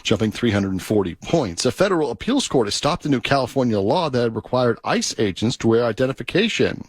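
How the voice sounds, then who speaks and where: A man's voice: medium pitch, big pitch swings, brisk, husky, no room sound, studio quality. An American man reading a scripted radio news bulletin as the anchor. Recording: radio broadcast with music underneath. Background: music bed.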